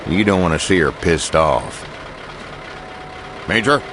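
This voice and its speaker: A man playing a gruff, plain-spoken military subordinate in a video game cutscene, speaking bluntly and informally. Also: sits low, lively intonation, slow, noisy background. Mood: wary, businesslike.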